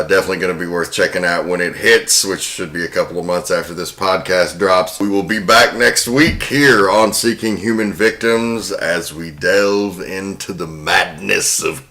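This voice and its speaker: Deep voiced podcast guy, bright crisp edge, deliberate commentary, takes his time. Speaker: podcast host. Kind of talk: semi-scripted podcast commentary.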